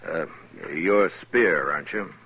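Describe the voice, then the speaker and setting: An actor playing a fair-minded, plain-spoken American marshal in a 1950s radio western. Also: male voice, sits low, slow, pushed and bright, slightly rough, heavily acted. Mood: firm, reasonable. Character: gruff, fair-minded frontier marshal in an old West town. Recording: muffled vintage radio recording.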